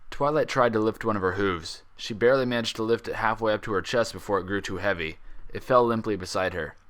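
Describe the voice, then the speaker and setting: Low-voiced man narrating a story. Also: quick pace, fairly bright tone. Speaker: fan fiction reader. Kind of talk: dramatic audiobook-style scripted narration.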